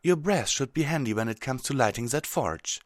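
A man's voice: mid-range voice, expressive, normal speed, dry recording, clean studio sound, pitched above the performer's own voice, lightly acted. A man voicing a refined, composed female cartoon unicorn in a polite, genteel manner. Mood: polite, coaxing.

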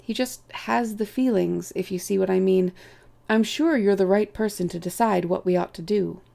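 A woman's voice: medium pitch, moderate pace, creaky, dark tone. Woman voicing an earnest, hesitant young rabbit. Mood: deferential. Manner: deferential, hesitant. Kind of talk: audiobook character voice.

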